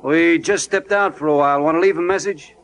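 An actor playing a suspicious, griping small-time American crook, wary and slangy, in an old radio crime drama. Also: male voice, a little high, a little fast, fairly bright tone. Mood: guarded, smooth. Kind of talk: 1940s-50s radio crime drama acting.

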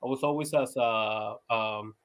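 A man's voice: medium pitch, unhurried, fairly bright tone. Laid-back Venezuelan-born man, chatty. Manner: laid-back, laughs often, many "you know" fillers and restarts. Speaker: podcast guest. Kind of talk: casual interview chat.

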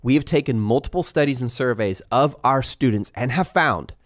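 Emphatic, animated male podcast host. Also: medium pitch, normal speed. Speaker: podcast co-host, business owner. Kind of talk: casual motivational chat.

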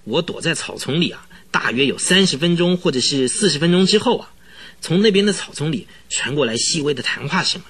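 A high, excitable, husky rambling witness. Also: male voice, brisk. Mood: agitated, secretive. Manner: rambling, dramatic storytelling full of interjections. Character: excitable, rambling, easily frightened male horse trainer witness. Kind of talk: radio drama acting.

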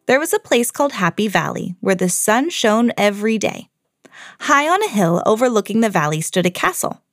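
A woman storyteller hosting a podcast, reading a children's fairy tale expressively with clear enunciation. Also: medium pitch, no echo, clean studio sound.

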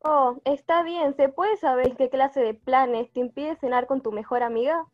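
A woman's voice: high voice, normal speed, close to an everyday voice. A woman playing an affectionate, nosy best friend in an amateur radio play, with an Argentine accent. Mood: curious, affectionate. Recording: tinny phone-like sound, music behind.

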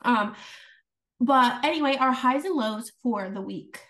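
A woman's voice: fairly high pitch, a little slow, a bit bright, recorded from a distance. A young adult woman co-hosting a podcast in casual chat, giggly and full of "like"s. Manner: giggly, filler-heavy, with many "like"s. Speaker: podcast co-host.

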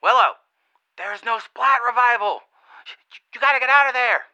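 Man as panicked dad, high, sharp and clear voice, stammering, urgent, audio drama voicemail. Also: fairly quick, muffled recording, heavily acted. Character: frantic, worried father pleading on voicemail.

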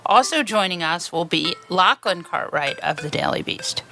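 A woman's voice: on the low side, animated, normal speed, creaky, bright, forceful tone, faint music behind. A woman hosting a political podcast, teasing and dry in her remarks. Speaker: podcast host. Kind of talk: casual political chat.

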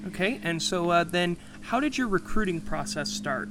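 High-voiced male podcast host. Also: expressive, normal speed, noisy background. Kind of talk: interview podcast hosting.

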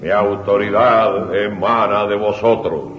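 An actor playing a solemn heroic revolutionary leader, declaiming gravely in a 1980s radio drama. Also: male voice, lowish voice, slow, soft background music. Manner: grave, oratorical. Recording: dull old radio recording, echoey hall.

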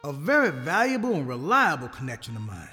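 In a long reverb with music underneath, a man acting as an old retired mage holds forth. He is folksy and roguish, swinging through his pitch with boastful relish in a sitcom audio play. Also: huge pitch swings, sharp tone, full character voice. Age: older. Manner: folksy, unhurried, self-aggrandizing.

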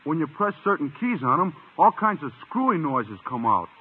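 A man's voice: fairly high pitch, very animated, normal speed, slight background noise. An actor playing a dim, earnest teenage shop boy with a gawky, naive way of talking, in a 1950s radio comedy. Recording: muffled, reverberant old radio recording.

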